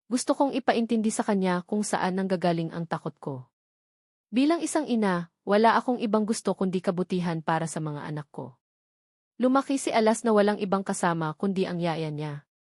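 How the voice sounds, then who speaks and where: A woman's voice: mid-range voice, a little mellow, no echo, studio quality, lightly acted. A woman with a Filipino accent voicing an anguished, stubborn young mother in a novel reading. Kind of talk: dramatized novel dialogue.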